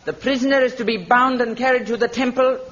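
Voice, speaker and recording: A woman's voice: on the high side, fairly even intonation, fast, lightly acted. An actress playing a merciless warrior queen in a vintage radio adventure serial, with commanding, haughty, formal pronouncements. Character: imperious, merciless warrior queen of women's fortress. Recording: muffled old radio recording, background din.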